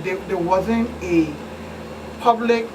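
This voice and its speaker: A woman's voice: medium pitch, takes it slowly. Caribbean woman, far from a noisy mic. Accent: Virgin Islands Caribbean. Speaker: police commander, guest expert. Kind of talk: talk show interview, recounting cases. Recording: distant mic, noisy room hum.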